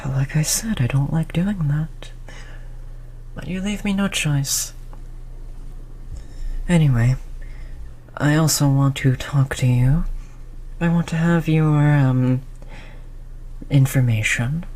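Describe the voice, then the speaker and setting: A performer of unclear sex playing a cold, condescending researcher who disciplines a captive test subject in a measured, mock-polite way, in an audio roleplay. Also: mid-range voice, a little mellow. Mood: patronizing, exasperated. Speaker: scientist running human experiments. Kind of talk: scripted audio roleplay drama.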